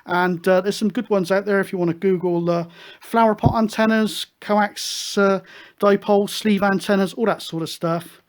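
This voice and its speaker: A man's voice: sits high, fairly even intonation, normal speed. A man from the UK hosting a hobby show, explaining things casually in a chatty, rambling, hesitant way. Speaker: host of a CB radio show.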